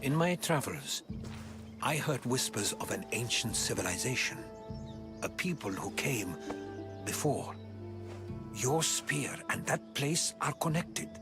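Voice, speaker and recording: An actor playing a learned, calm middle-aged historian who speaks in a measured, reflective way, over game music. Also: male voice, mid-range voice. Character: learned older male historian, calm and thoughtful.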